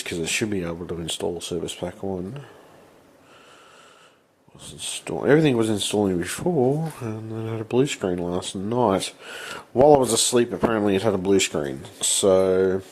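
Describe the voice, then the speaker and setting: A man with blocked sinuses, talking off camera at a home workbench in a rambling, halting way that breaks into swearing when he is frustrated. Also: deep voice, vocal fry, darkish tone, husky. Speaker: channel host. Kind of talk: casual vlog.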